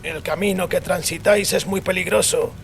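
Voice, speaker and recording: A man's voice: high-pitched, steady tone, moderate pace, creaky, faint music behind, voice pushed up. A man voicing an anguished, defiant priest in dramatized audio fiction, accusing and impassioned in formal phrasing.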